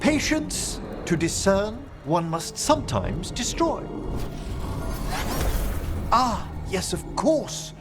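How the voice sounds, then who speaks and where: A man's voice: high voice, very animated, takes it slowly, pauses often, exaggerated voice. An actor playing a genteel, scholarly necromancer in a video game, theatrical and precise in his courteous phrasing. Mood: scholarly delight. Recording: music behind the voice.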